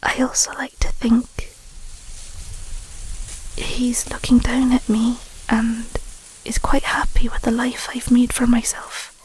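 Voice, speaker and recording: A woman playing a tender, sentimental young Scottish werewolf girlfriend in an intimate audio roleplay, speaking softly and breathily with a gentle, affectionate manner. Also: a little high, monotone, slow, exaggerated voice, low-quality recording. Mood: wistful, tender. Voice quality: soft, breathy, whispery. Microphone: close mic, dry.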